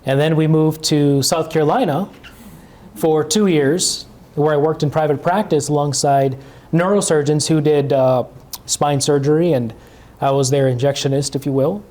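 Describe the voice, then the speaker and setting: Mellow-voiced man from the Chicago area. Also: a little high, moderate pace. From Chicago area, USA. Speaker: pain management doctor. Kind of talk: community talk, personal background.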